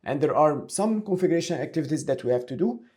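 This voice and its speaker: A man giving a step-by-step software tutorial, talking through each action aloud as an instructor. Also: on the high side, animated, quick pace. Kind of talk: screen-share tutorial, explaining step by step.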